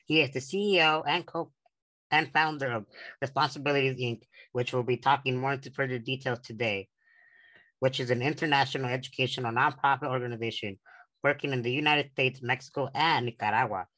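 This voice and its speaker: A man's voice: mid-range voice, fairly even intonation, brisk, sharp tone, low-quality recording. An eighteen-year-old man from San Diego hosting an interview, reading the introduction earnestly with restarts. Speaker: podcast host.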